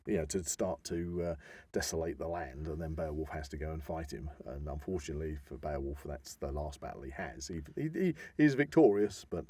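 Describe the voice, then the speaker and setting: A man's voice: rumbling low, brisk, warm tone, breathy edge. A man explaining things enthusiastically in a casual podcast chat, often wandering into asides as he tells the story. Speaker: podcast host.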